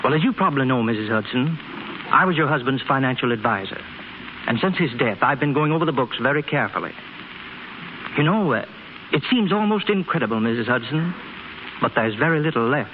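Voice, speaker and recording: A man's voice: mid-range voice, expressive, fairly quick, natural voice. Man acting formal deferential businessman, slightly hesitant, vintage radio drama, tinny, faint hiss. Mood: apologetic, careful. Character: polite, apologetic, puzzled male financial advisor. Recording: scratchy, thin 1930s radio broadcast recording.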